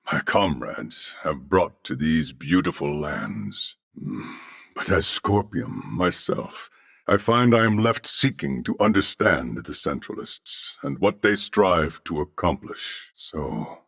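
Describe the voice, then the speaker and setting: An actor voicing a calm, courteous cybernetic alien in a video game, with a deep, gravelly voice and formal, measured, precise diction. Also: male voice, dry recording, studio quality, exaggerated voice. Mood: polite, reflective. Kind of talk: dramatic video game voice acting.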